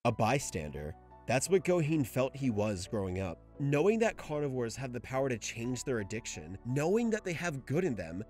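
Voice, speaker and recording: Animated man doing video commentary. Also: mid-range voice. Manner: enthusiastic, informal, swearing. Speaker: video essayist host. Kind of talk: scripted video essay commentary with casual asides.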